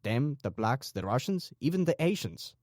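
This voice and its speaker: A man's voice: mid-range voice, very animated, normal speed, a little mellow, no room sound, studio quality, voice pushed up, natural voice. A man voicing a deferential male cartel messenger in an audiobook, reporting urgently to his boss. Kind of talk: audiobook character dialogue.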